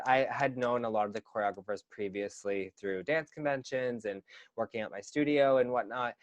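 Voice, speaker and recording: A man's voice: on the low side, dry recording. A young man in his twenties, a dancer and teacher, talking earnestly in a casual interview with lots of fillers and restarts. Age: 20s.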